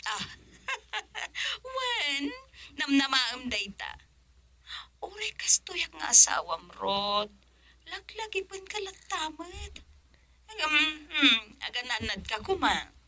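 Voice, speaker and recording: Teasing wife, radio serial. Bright, forceful voice, very animated, expressive delivery. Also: female voice, high voice, takes it slowly, long pauses, full character voice. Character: teasing, needling wife of a businessman. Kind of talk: Ilocano radio drama acting.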